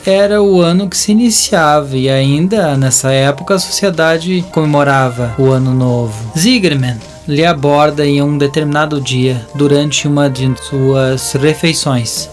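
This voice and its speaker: A man with a Brazilian accent narrating a scripted audio drama as a deliberate storyteller who addresses listeners. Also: medium pitch. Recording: voice over a music bed.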